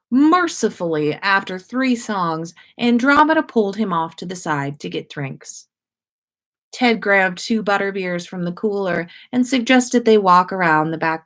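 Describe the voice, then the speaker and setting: A woman hosting a story-time livestream, speaking in an expressive, informal way as she reads and chats. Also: mid-range voice. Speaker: host of a fan fiction story-time livestream. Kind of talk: expressive story reading and casual livestream chat.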